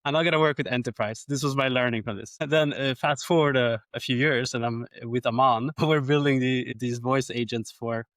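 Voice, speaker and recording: A man telling his background as an interview guest, friendly and quick to laugh. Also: medium pitch, steady tone, a bit bright, no room sound. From Sweden. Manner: friendly, laughs easily, repeats words. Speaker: guest, company co-founder. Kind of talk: interview answers.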